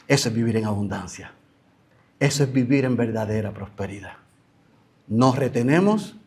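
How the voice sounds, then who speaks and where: A man preaching a sermon as pastor, acting out imagined conversations with animated emphasis. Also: medium pitch, slightly rough.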